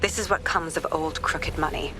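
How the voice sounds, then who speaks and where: An actress playing a hardened, bitter British police detective in a video game, terse and determined. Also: female voice, low voice, moderate pace, background noise, natural voice. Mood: grim disgust.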